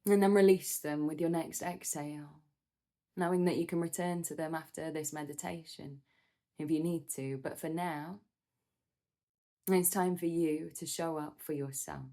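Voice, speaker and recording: A woman leading a guided meditation in a soothing, gentle manner, with measured instructions and pauses. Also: low voice, steady tone.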